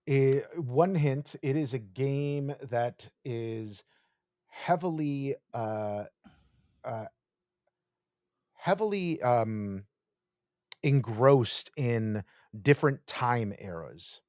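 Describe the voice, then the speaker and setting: A playful man hosts a casual quiz chat and drops halting clues packed with fillers. He talks at an unhurried pace. His voice sounds dry and close, with little room sound. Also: mid-range voice, expressive, darkish tone, no echo. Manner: playful, gives hesitant clues full of fillers. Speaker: podcast co-host running a quote quiz.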